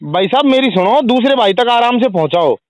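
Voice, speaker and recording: High-pitched insistent man on phone. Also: lively intonation, a little fast, no room sound. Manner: insistent, repetitive, polite in address. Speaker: journalist, press union state general secretary. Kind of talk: argumentative phone conversation. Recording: phone call recording, dry sound.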